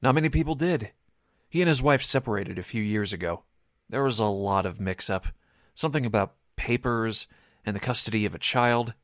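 An actor playing a hesitant, nervous witness in a 1940s-style radio drama, halting and hedging as he confides. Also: fairly low pitch, no echo, studio quality, close to an everyday voice. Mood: confiding.

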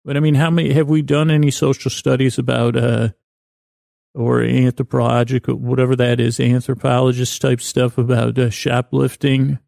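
A middle-aged man with an American accent and a creaky voice, hosting a sleep podcast with a halting, meandering bedtime monologue that keeps digressing. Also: medium pitch, flat delivery, a little mellow, dry recording, studio quality. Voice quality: creaky, gravelly.

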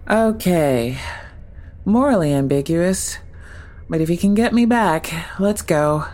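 A woman's voice: fairly low pitch, expressive, slow, darkish tone. A woman acting in an audio drama as a wary, wry displaced traveler.

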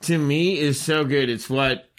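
A man, a comedian, chatting and punning loudly in a casual podcast, with deadpan jokes. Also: a little high, a little slow. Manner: loud, jokey, deadpan puns.

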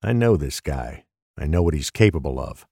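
Man acting a deep-voiced, grave group leader. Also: sing-song, normal speed, gravelly, a little mellow, husky, no room sound, clean studio sound, full character voice. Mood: grave warning. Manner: firm, urgent, instructing. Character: grave, commanding man leading a fleeing group. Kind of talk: crime audio drama acting.

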